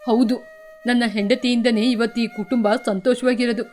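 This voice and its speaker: A woman's voice: on the high side, fairly even intonation, moderate pace, darkish tone, pitched below the performer's own voice, close to an everyday voice. A woman voicing a proud, wealthy middle-aged man full of remorse, speaking in a heavy, regretful way. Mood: remorseful.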